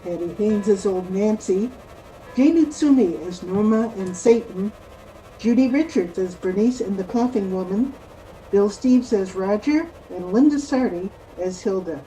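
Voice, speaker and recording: Female radio announcer, mid-range pitch, very soft mellow tone, reading scripted credits. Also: a little slow, a little hiss or hum, rough recording.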